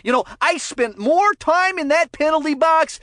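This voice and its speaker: A woman voicing an excitable, chummy ex-player color commentator in a cartoon hockey game, with folksy, chatty delivery and mild exclamations. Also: sits high, normal speed, no echo, clean studio sound. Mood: sheepish, embarrassed.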